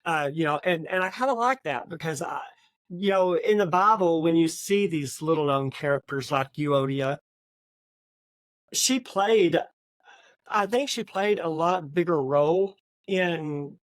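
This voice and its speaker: A woman's voice: low-pitched, unhurried, dry recording. A woman talks informally as co-host of a book discussion podcast. Her delivery is conversational and unscripted. The recording is dry, without audible room reflections.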